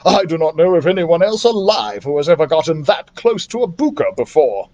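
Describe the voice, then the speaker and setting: A man's voice: a little high, very animated, a little fast, darkish tone, muffled recording. A man voicing a learned adventurer doctor in a storybook, a formal and authoritative mentor with precise phrasing. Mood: urgent, impressed.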